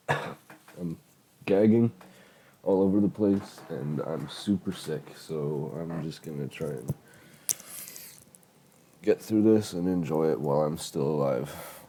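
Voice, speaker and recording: Late-teen male, cold-stuffed and nasal-sounding, very deep and slow, loose sweary screen-recording chatter. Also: dark tone, a little hiss or hum. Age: late teens. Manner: rambling, sweary, lots of fillers. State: congested from a cold. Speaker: YouTuber reviewing his old music. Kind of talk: casual screen-recording commentary.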